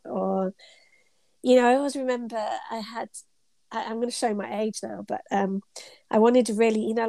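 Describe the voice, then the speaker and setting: A woman's voice: a little high, dark tone, no echo. A middle-aged British woman with a cold, sounding stuffed up as she chats as an interview guest, telling rambling stories full of ums and laughs. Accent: British English. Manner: chatty, rambling storyteller with frequent ums and laughs. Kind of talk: casual conversational interview.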